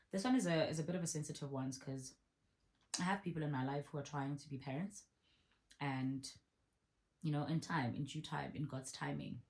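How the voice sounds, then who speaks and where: A woman in her thirties with a notably low, deep voice. She chats casually through a viewer Q&A, repeating her points for emphasis. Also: darkish tone. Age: 30s. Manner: chatty, repeats herself for emphasis. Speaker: vlogger. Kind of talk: casual Q&A answering viewer questions.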